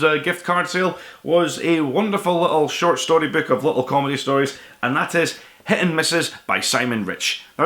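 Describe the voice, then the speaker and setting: Male vlogger, medium pitch, fast and forward delivery, enthusiastic, rambling, casual chat. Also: pushed and bright. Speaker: host of a book haul vlog.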